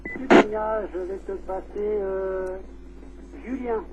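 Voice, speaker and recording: A man speaking over amateur radio as a scout leader, in procedural call phrases broken by hesitant pauses. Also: high voice, fairly even intonation. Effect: radio transmission. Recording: tinny, noisy amateur radio link.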